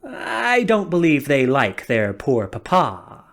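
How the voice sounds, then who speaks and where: Weary old straw emperor, slow and sighing, often breathy, swinging widely in pitch, as a woman reader plays him. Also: sing-song, full character voice. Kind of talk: storybook reading.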